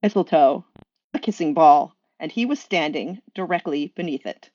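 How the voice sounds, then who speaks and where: A woman's voice: sits low, lively intonation, moderate pace, darkish tone, dry recording, dull audio. A woman reading a story aloud in a scripted narrating style. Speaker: fan fiction reader.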